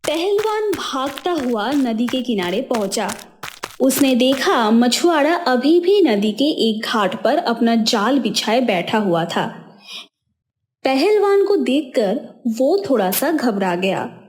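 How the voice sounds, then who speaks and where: Slow expressive woman storyteller. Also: high voice, slight background noise. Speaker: narrator. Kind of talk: storybook narration.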